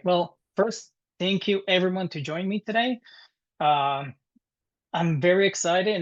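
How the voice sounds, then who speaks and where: A man in his thirties with an Italian accent, presenting informally to a webinar in a halting, conversational way. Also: on the high side. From Italy. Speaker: guest presenter, dental technician. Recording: dull, muffled webinar audio.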